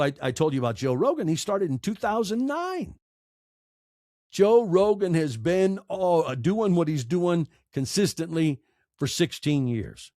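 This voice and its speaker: A man in his sixties with an American accent, giving reflective, earnest interview answers in short, emphatic, deliberate phrases. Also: high voice, darkish tone, no echo, clean studio sound. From United States. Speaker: podcaster and storyteller, interview guest.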